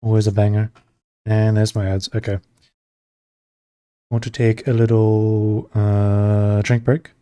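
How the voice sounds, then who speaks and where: A man streaming while he draws, chatting casually with viewers and rambling between pauses. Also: lowish voice, clean tone, dry recording. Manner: relaxed, rambling, talks to chat between pauses. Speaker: streamer drawing live. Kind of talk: casual chat while drawing.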